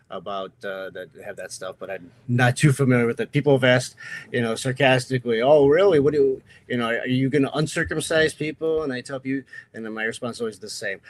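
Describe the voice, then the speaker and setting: Casting: man with a loud, projecting voice for a relaxed livestream guest, loose and rambling delivery. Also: mid-range voice. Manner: loose storytelling with frequent uh fillers. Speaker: guest, atheist group president. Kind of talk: casual livestream chat.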